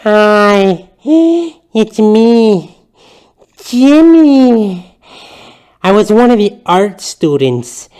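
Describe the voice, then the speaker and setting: Guy playing a shy fawning art student dude, highish wobbly pitch, real slow and timid, comedy skit. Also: mellow, clean tone, exaggerated voice. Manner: timid, formal, halting and fawning.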